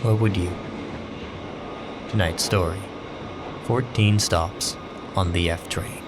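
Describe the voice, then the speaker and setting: A man playing a brooding story host, delivering scripted dramatic narration in a deliberate, rhetorical way. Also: low-pitched. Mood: musing. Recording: clear background train noise.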